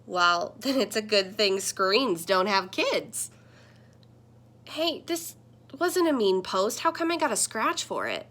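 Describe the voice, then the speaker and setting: A woman's voice: mid-range voice, big pitch swings, takes it slowly, fairly bright tone. A woman voicing a surprised, defensive child who questions everything, in a children's picture book.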